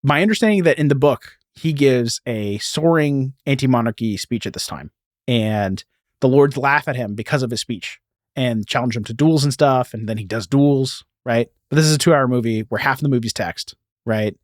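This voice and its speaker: A man's voice: medium pitch, moderate pace, dry recording, clean studio sound. A man hosting a podcast, telling a story in a casual, informal way peppered with "like" and "you know.". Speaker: podcast host.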